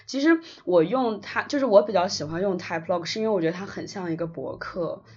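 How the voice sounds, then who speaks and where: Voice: mid-range voice, fairly quick, slightly rough. A podcast co-host talking in a casual, reflective way. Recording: slightly dull, lo-fi podcast recording.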